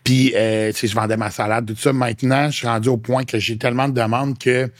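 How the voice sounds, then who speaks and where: A man's voice: mid-range voice, fairly even intonation, a little fast, no room sound, studio quality. A man with a Québécois French accent, a fitness coach talking frankly as a podcast guest, slipping English slang into casual chat. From Quebec.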